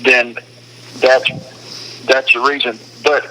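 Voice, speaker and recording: A man with a bright, forceful voice at a middle pitch speaks over a phone call. He talks casually but with strong opinions, laying out his points in an emphatic, explanatory way. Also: little pitch movement, extremely slow. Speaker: detainee speaking on a phone call from a detention facility. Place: phone call from a detention facility.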